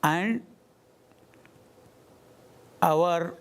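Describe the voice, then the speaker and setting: A man with an Indian accent, a spiritual teacher answering questions at a gathering in slow, deliberate phrases with long pauses. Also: a little high, smooth. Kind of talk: satsang talk answering questions.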